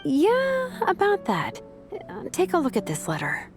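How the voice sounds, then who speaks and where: An actress playing a confident vice captain and teacher in a video game, speaking casually, diplomatically and persuasively. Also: female voice, sits high, huge pitch swings, unhurried, over music, full character voice. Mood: casual, assured.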